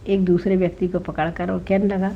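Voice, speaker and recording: A woman's voice: on the low side, level tone, brisk, slight background noise. A woman narrating a folk tale informally, in a conversational way that checks in with the listener. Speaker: storyteller. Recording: dull lo-fi recording.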